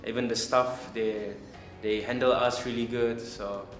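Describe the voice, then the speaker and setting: A man's voice: medium pitch, little pitch movement, measured pace. Man from Brunei, rambling and repetitive. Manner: rambling, repeats words. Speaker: culinary student. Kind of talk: interview testimonial. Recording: echoey room with background music.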